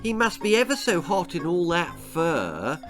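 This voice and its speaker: Storybook little girl, played by a man, British, plummy and earnest, pitched high, bright. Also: wildly swooping pitch, a little slow, soft background music, full character voice. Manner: plummy, old-fashioned childish earnestness. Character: plaintive little posh English girl, earnest.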